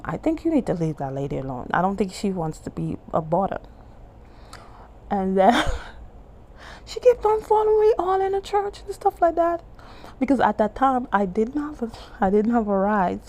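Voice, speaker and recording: A woman from the Bahamas with a Bahamian accent, telling a church testimony in casual, animated talk and jumping high when she quotes people. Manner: animated, acts out quoted lines in a much higher voice; restarts and trails off often. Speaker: testimony vlogger.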